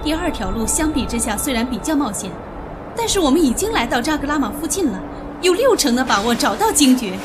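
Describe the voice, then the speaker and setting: A woman's voice: high voice, very animated, a little fast, over music. An actress playing a composed, rational young woman explorer in an audio drama, articulate and formal. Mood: calm reasoning. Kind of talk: Chinese audio drama acting.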